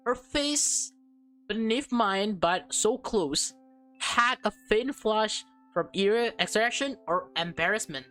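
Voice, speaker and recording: A woman's voice: medium pitch, animated, slow pace, a bit bright, faint music behind, poor audio. A woman reading a visual novel aloud as a let's player, stumbling over and repeating words, with slangy, casual reactions.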